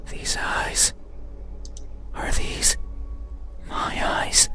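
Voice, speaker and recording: A performer voicing an eerie, hollow, mysterious being in a breathy, halting whisper for a horror game. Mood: probing, menacing. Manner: slow, halting, hushed delivery. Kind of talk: horror visual novel voice acting. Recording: whisper under loud music. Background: loud music.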